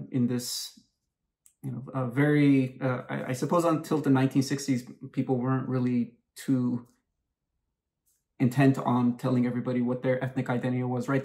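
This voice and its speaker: A young man conducts an interview over a headset on a video call. His voice sits in an ordinary middle range, and his questions unfold slowly, with hesitations as he builds toward the point. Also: medium pitch, a little mellow.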